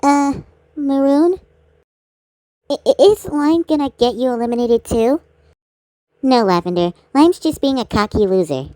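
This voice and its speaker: A woman's voice: high voice, lively intonation, a little slow, long pauses, warm tone, dry recording, clean studio sound. A woman doing amateur cartoon voice acting in an exaggerated, theatrical manner.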